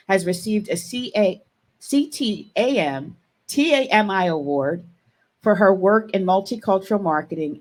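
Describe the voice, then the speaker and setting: Upbeat woman host, lowish voice. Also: darkish tone. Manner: upbeat, reads a long bio steadily. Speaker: show host. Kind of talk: scripted guest introduction on a live broadcast.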